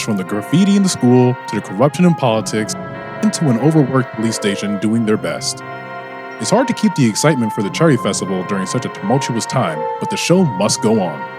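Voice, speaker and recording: Male announcer for old-time radio drama. Lively, suspenseful delivery over a clear music bed. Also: medium pitch. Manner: theatrical, suspense-building. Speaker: narrator and announcer. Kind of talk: old-time radio serial narration and sponsor read. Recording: music under the voice.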